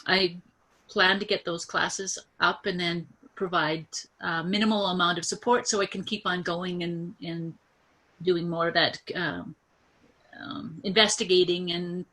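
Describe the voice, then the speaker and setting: Nervous woman, bright and hesitant. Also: lowish voice, steady tone, a little slow. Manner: hesitant, many fillers and restarts. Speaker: coaching client. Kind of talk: pitching a business idea on a video call. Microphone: video call.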